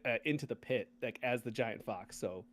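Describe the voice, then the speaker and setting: A man's voice: medium pitch, fairly quick, fairly bright tone, no room sound. A man hosting a gaming podcast, chatting casually. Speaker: podcast host.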